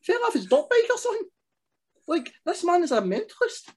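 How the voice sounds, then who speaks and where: A man's voice: extremely high pitch, huge pitch swings, a little fast. A man from the Glasgow area of Scotland with a thick Glaswegian accent, a podcast guest chatting bluntly and colloquially. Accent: Glaswegian Scottish. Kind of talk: casual chat.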